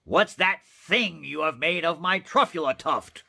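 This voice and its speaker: A man voicing a short, indignant old forest creature from a storybook, sharp, bossy and scolding. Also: fairly high pitch, animated, measured pace, vocal fry, full character voice.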